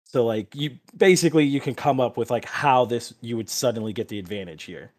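A man's voice: mid-range voice, brisk. Casual man running a tabletop game. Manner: profane, informal. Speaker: game master.

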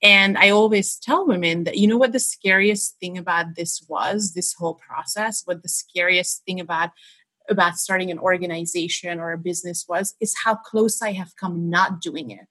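A woman's voice: fairly low pitch, moderate pace, bright, forceful tone, breathy edge. A woman in her thirties from Hungary, whose first language is Hungarian, telling her story as a guest in a casual interview, with frequent restarts. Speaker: podcast guest.

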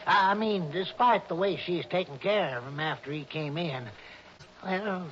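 A man playing a folksy, nervous, slow-witted lodge keeper in a radio drama, hesitant and stammering. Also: high voice, fairly bright tone. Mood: uneasy. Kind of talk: 1960s radio drama character acting. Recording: dull, noisy old radio broadcast.

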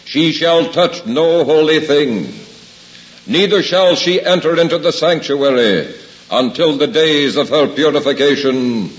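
An actor voicing solemn scripture as God and prophet in a 1950s radio drama, declaiming in archaic words. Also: male voice, on the high side, expressive, measured pace. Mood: commanding. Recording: long reverb, dull old radio recording.

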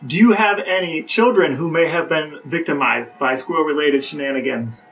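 A man's voice: fairly high pitch, moderate pace, fairly bright tone, recorded from a distance, soft background music, rough recording. A man co-hosting a podcast in casual chat, asking tongue-in-cheek questions in a mock-serious way. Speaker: podcast co-host.

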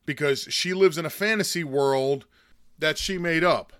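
Hoarse, indignant American man ranting. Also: a little high, a little slow, fairly bright tone, no echo, studio quality. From United States. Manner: scornful, indignant. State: hoarse with a chest cold. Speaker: political commentary host. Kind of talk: opinionated monologue.

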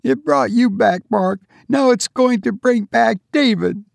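A man's voice: very high voice, unhurried, creaky, no echo, studio quality, pitched above the performer's own voice, exaggerated voice. A man voicing a desperate, defiant grieving mother in a dramatic story reading, pleading breathlessly and insistently. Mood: frantic, defiant.

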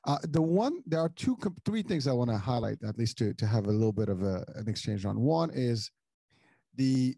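A man's voice: mid-range voice, expressive, normal speed, creaky, dark tone, slightly rough, dry recording, clean studio sound. A middle-aged man from Morocco hosting a casual interview, talking in long rambling sentences full of restarts and repeated words. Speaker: podcast host.

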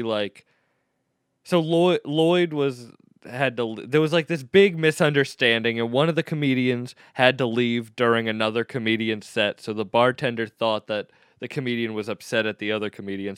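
A man's voice: mid-range voice, clean tone, no echo, clean studio sound. A man, a stand-up comedian, telling a story in casual chat and acting out the people in it. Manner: animated storyteller, quotes people in raised voices.

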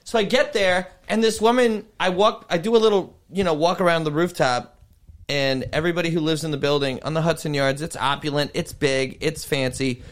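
A man who is a comedian guest, telling stories in casual chat in an animated, profane way. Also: a little high, a little fast.

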